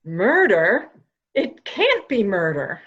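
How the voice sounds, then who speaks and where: A woman's voice: medium pitch, very animated, takes it slowly, fairly bright tone, slightly rough, dull audio, exaggerated voice. A woman acting a composed, skeptical member of a mansion household in a murder mystery reading. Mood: alarmed.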